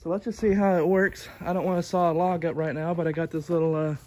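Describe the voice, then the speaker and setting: A man's voice: on the high side, flat delivery, fast talker, dark tone. A man in his thirties casually explaining tips beside a sawmill outdoors by a barn, filming on a handheld phone. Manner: plainspoken, explains while working with his hands. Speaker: sawmill hobbyist showing tips. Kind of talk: casual how-to demonstration. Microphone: phone camera held by the speaker. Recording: outdoor phone audio with clear background noise.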